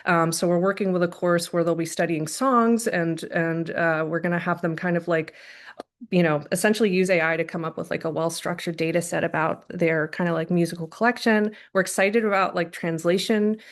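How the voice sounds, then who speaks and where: A woman's voice: lowish voice, steady tone, a little fast. Chatty woman presenting with lots of likes. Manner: casual, filler-heavy with many likes and ums. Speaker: learning lab staff presenting. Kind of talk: webinar presentation. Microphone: video call.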